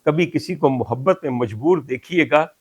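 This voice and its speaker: Older Pakistani male host, dry close sound, declaims poetry with slow emphasis. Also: a little high, slightly rough, no echo. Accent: Pakistani Urdu. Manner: declaiming Punjabi verses slowly and emphatically. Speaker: talk show host. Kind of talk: reflective monologue.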